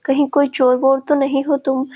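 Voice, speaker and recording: A woman's voice: high-pitched, little pitch movement, moderate pace, dark tone, lightly acted. A woman voicing a blunt, easily irritated nineteen-year-old girl with short, curt replies.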